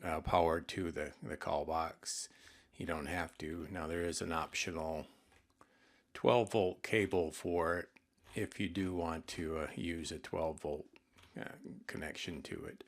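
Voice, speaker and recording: A man's voice: very deep voice, fairly even intonation, measured pace. A man presenting products in an informal demonstration, explaining things in a plainspoken, somewhat hesitant way. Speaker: product presenter for an intercom retailer.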